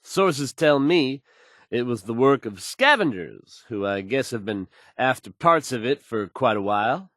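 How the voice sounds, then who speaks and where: A man's voice: medium pitch, big pitch swings, measured pace, a bit bright, no echo, clean studio sound. An actor playing a confident, easygoing radio newsman in a video game, suave and wry, with a polished, folksy delivery. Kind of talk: smooth scripted radio news delivery, video game voice acting.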